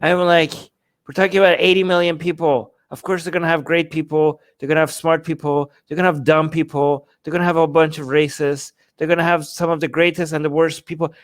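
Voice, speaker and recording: A man from Iran co-hosting a political talk show, speaking casually and haltingly, repeating phrases to make his point. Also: a little high, flat delivery, dry recording. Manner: halting, searching for words, repeats phrases for emphasis. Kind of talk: casual, opinionated discussion.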